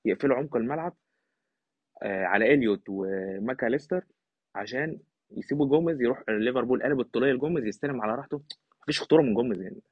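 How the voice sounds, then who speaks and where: Egyptian man on a phone-like line, talking fast. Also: mid-range voice, slightly rough. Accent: Egyptian Arabic. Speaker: football podcast guest. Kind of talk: casual football analysis. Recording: thin phone-like line, slightly roomy.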